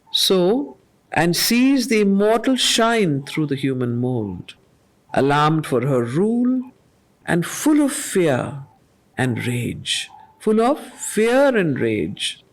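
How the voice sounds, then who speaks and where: A woman leading a study circle, reading poetry aloud in a slow, measured way and repeating lines to explain them. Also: fairly low pitch. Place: meeting room of a study group.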